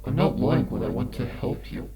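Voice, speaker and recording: A man voicing a sinister monster posing as a friend in a dramatic story reading, with a twisted, whispery voice and gentle menace. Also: normal speed, natural voice, poor audio. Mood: earnest, persuasive. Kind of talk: dramatic fan fiction audio reading. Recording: loud music under the voice.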